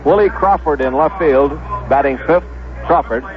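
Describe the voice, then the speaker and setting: An American man calling baseball on 1970s radio in a measured announcing manner, repeating names for clarity. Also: a little high. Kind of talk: 1970s radio sports commentary, pregame lineups. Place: stadium broadcast booth. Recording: dull lo-fi vintage radio broadcast.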